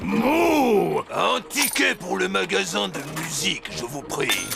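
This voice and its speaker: A courteous cartoon animal gentleman. He is high for a man and heavily gravelly, swinging theatrically in pitch as he talks, with music behind. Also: lively intonation, slow pace, exaggerated voice. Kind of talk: children's cartoon dubbing.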